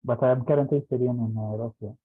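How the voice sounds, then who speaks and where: A man's voice: mid-range voice, fairly even intonation, fast, husky. A man from Ghana, a student, asking questions in a webinar with hesitant speech full of fillers. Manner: hesitant, many fillers and restarts. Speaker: webinar attendee. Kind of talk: asking questions on a video call. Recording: tinny phone-like call audio.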